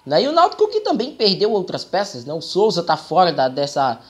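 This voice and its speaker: A man's voice: sits high, huge pitch swings, brisk. A young man with a Brazilian accent giving football commentary to camera, explaining things and often adding short checking tags. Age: young adult. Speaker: football commentator and channel host. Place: small room indoors.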